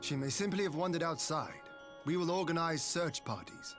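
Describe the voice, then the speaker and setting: A composed young leader, voiced by a man, who speaks formally in an even, steady tone. Clear game music sits behind his voice. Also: a little high, moderate pace, natural voice. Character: calm young male leader, noble and steady. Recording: game dialogue over background music.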